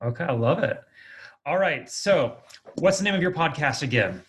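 Man, fairly high pitch, podcast host, informal interviewing, eager and affirming. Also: expressive, moderate pace, a bit bright. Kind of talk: casual conversational interviewing.

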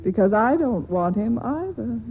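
A woman's voice: a little high, big pitch swings, unhurried. An actress in a 1940s radio drama playing a wealthy, worldly society woman, poised and dryly amused. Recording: tinny, noisy 1940s radio broadcast.

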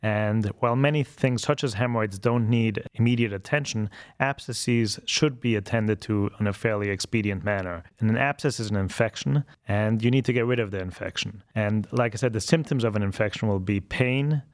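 A middle-aged man from Austria, a colorectal surgeon explaining calmly and methodically in an interview. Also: lowish voice, moderate pace, hardly any pauses, no echo, clean studio sound.

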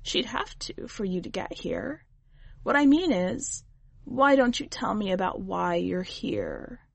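A woman reading the part of a shrewd, calm witch who speaks plainly and gently. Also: mid-range voice, unhurried, gravelly, slightly rough, dry recording, heavily acted. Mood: gentle.

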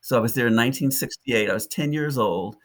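A man's voice: medium pitch, fast talker, a bit bright. Eloquent older man telling stories. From Detroit, United States. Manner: eloquent, vivid and lyrical, with frequent restarts. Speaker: park ranger, podcast guest. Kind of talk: reflective interview storytelling.

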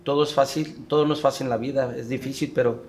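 A middle-aged man from Mexico, recounting his story as an interview guest in a reflective, halting way. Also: mid-range voice, fairly even intonation, a little mellow, a little hiss or hum. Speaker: guest, father.

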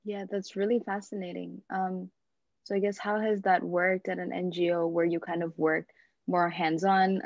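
A woman's voice: on the low side, a little mellow, no echo. A woman from Indonesia hosting an interview, friendly with hesitant fillers. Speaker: interview host. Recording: dull lo-fi sound.

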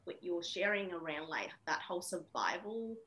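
Low-voiced woman from Vietnam, reflective. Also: big pitch swings, moderate pace, bright, forceful tone, low-quality recording. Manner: reflective, many fillers. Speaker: interview guest, women's coach. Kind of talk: casual interview.

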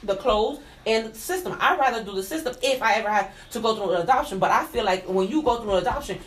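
A woman's voice: a little high, expressive, quick pace, poor audio. A woman in a casual group chat, talking emphatically and repeating herself to hold the floor.

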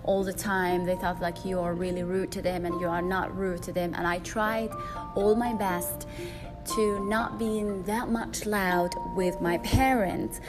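Loud-voiced woman podcaster over music. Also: lowish voice, steady tone, measured pace. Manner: rambling, frequent fillers and restarts. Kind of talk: solo podcast monologue. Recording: music playing behind.

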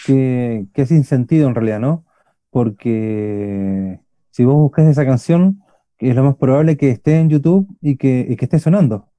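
Argentine guy hosting a radio show, really dark mellow voice, dry sound, rambles with lots of ums. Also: medium pitch, normal speed, no room sound. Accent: Argentine Spanish. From Argentina, Buenos Aires. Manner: rambling, many restarts and fillers. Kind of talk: casual chat.

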